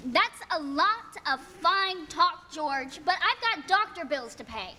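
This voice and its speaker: A young woman playing an indignant small-town shareholder, extremely high and bright in voice, demanding and insistent. It is radio play acting in a reverberant hall. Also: animated, slow, heavily acted. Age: teens or young adult. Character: angry, demanding small-town shareholder wanting her savings. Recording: reverberant hall with crowd behind.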